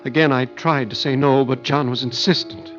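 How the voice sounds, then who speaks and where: A man's voice: medium pitch, steady tone, normal speed, fairly bright tone, soft background music, lightly acted. An actor playing an anxious, weak-willed man in an old radio thriller, grave and hesitant, on a muffled recording. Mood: grave, haunted. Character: anxious, weak-willed brother recounting a horror. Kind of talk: old-time radio thriller narration and acting.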